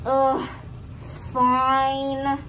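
A woman's voice: high-pitched, completely flat delivery, very slow, bright, forceful tone, heavily acted. A young woman voicing a snooty, dismissive female puppet in a scripted puppet show. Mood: scornful. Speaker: student puppeteer. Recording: faint background noise.

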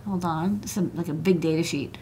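A woman's voice: sits low, fairly even intonation, a little fast, warm tone, slight background noise. An American woman hosting a technical livestream, chatting informally about electronics with plenty of casual asides. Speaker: host, electronics engineer. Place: indoor desk workspace.

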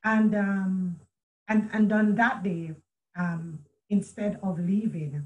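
A woman's voice: medium pitch, fairly even intonation, takes it slowly, a little mellow, muffled recording. A middle-aged woman telling a personal story as a guest on a video call, earnest and reflective, often restarting her sentences. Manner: earnest, reflective, with many restarts and quoted speech. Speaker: author and guest. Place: room at home with a chandelier. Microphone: video call webcam.

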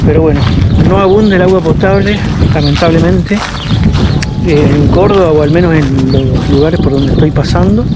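A man's voice: on the high side, very noisy. A man in his thirties with an Argentine accent, chatting casually to an action camera held at arm's length outdoors while touring by bike. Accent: Argentine Spanish. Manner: chatty, easygoing, thinks aloud with fillers. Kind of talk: casual travel vlog talk to camera. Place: outdoors at an abandoned campground.

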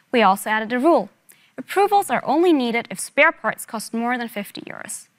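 A woman's voice: on the high side, a bit bright. A woman in her thirties giving a rehearsed product demonstration as a presenter at a company keynote.

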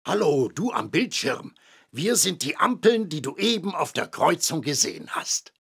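An actor voicing a friendly talking traffic light in a children's game, with a husky, creaky voice. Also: male voice, a little high, very animated, moderate pace, fairly bright tone, heavily acted. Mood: welcoming.

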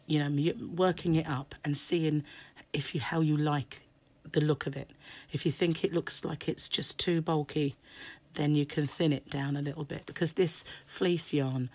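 A woman with a croaky, hoarse voice chatting through a crochet tutorial at a table, full of fillers and throat clearing. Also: sits low, darkish tone. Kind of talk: casual tutorial explanation. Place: home table, indoors. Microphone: camera over hands, speaker off-screen.

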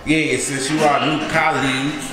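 A man's voice: mid-range voice, level tone, moderate pace, over loud music. A man hosting a casual spelling contest vlog with playful, slangy banter and some swearing. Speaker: host and quizmaster. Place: barbershop and outdoor back deck. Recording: echoey room, clear background noise.

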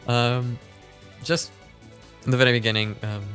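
Young guy doing reaction video commentary, bright voice, chatty and halting, close mic. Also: medium pitch, faint music behind. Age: 20s. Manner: halting, animated, laughs easily. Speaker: host of a reaction video series. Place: small room at home, indoors. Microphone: close boom-arm studio mic.

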